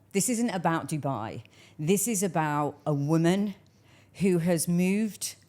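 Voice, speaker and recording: A woman's voice: low-pitched, a little mellow. A woman hosting a conversational interview, speaking in an earnest, emphatic way. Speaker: interview host.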